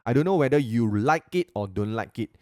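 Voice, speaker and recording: Halting Malaysian man searching for words. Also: medium pitch, lively intonation, darkish tone, no room sound, studio quality. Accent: Malaysian English. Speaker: podcast co-host. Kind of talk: casual podcast chat.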